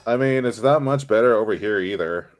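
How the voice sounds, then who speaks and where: A man's voice: on the low side, brisk. A young man chatting casually with friends on a voice call, hesitant and full of fillers.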